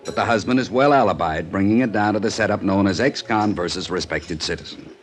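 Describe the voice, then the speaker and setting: Male actor as world-weary American police lieutenant, middle-aged, gruff and irritable, lower register, vintage radio crime drama. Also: brisk, lightly acted.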